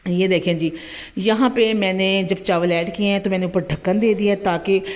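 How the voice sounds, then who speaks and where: A woman's voice: medium pitch, fairly even intonation, normal speed. A young woman with a Punjabi accent, hosting a home cooking tutorial in a chatty manner and often checking in with "theek hai ji". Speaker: cooking channel host. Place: home kitchen.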